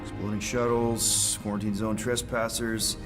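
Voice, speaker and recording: A man's voice: fairly low pitch, little pitch movement, a little slow, close to an everyday voice. An actor playing a glib, wisecracking male space adventurer in a cinematic video game, given to joking and rambling. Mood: glib, bluffing. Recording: music behind the voice.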